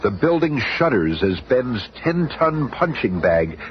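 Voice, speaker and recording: A man's voice: medium pitch, big pitch swings, a little slow. A man narrating a 1970s radio drama as a dramatic storyteller. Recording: noisy, dull 1970s radio recording.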